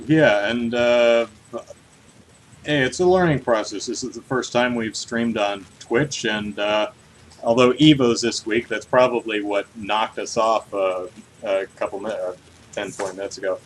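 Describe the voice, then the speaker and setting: A man co-hosting a casual livestreamed podcast about video game news, talking in an animated, jokey way. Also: lowish voice, measured pace, a little hiss or hum, poor audio. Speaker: podcast co-host. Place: hot room at home, on a livestream.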